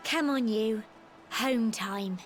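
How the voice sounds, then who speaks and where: A young woman voicing a sweet, earnest village girl in a video game, gentle and wide-eyed. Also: a little high, slow pace. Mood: happy. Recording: clear music underneath.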